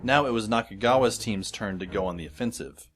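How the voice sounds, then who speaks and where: A man's voice: fairly low pitch, fairly quick, a bit bright, lightly acted. A man playing a wry, observant teenage schoolboy who narrates an audio drama in a wordy, descriptive way. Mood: wry, intent. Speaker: first-person narrator. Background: music behind the voice.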